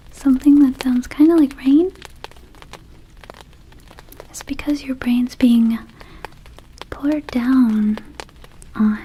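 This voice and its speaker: A woman voicing a gentle vampire dispensary keeper in a whispered ASMR roleplay, soothing and unhurried, with childlike wording. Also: high voice, slight background noise, low-quality recording. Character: gentle female vampire dispensary keeper offering magic herbs.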